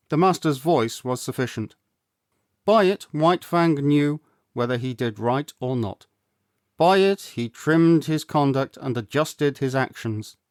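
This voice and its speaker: A man reading a story aloud as an audiobook narrator, expressive and theatrical, with measured delivery. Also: medium pitch, dry recording, studio quality. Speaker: audiobook reader, actor.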